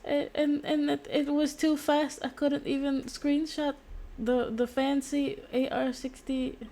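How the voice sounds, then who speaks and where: A woman's voice: high voice, little pitch movement, measured pace, mellow. A woman livestreaming a game with casual, giggly, rambling commentary. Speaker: streamer. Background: video game audio.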